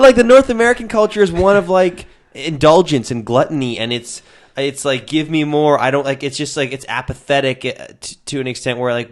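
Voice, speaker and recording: A young man hosting a podcast in casual chat, rambling and jokey, swearing freely. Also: mid-range voice. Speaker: podcast host.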